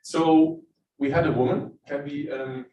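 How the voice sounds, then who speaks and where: A man's voice: fairly high pitch, fairly even intonation, a little slow, lo-fi sound, a little hiss or hum. A middle-aged man with a Dutch accent, giving a conference talk standing up, in a casual, conversational way with filler words. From Netherlands. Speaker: guest speaker. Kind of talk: conference presentation. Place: lecture room. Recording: roomy hall, from far off.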